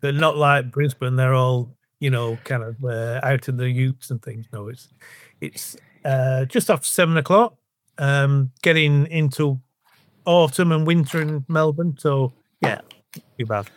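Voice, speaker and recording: A man's voice: medium pitch, measured pace. A middle-aged or older man from the North West of England with a Northern English accent, answering as a podcast guest in a casual, discursive way, often restarting his sentences. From Bolton, North West England. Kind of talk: casual conversational interview answers.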